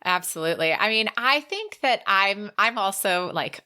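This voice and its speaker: A woman's voice: medium pitch, lively intonation, moderate pace, bright, forceful tone. A woman hosting a casual book podcast, giving her opinions in an emphatic, informal way. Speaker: podcast host.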